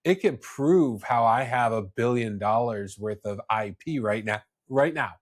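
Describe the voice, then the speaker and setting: A man's voice: medium pitch, lively intonation, unhurried. A man hosting a podcast, talking casually and explaining with personal examples, often repeating words as he goes. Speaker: podcast host.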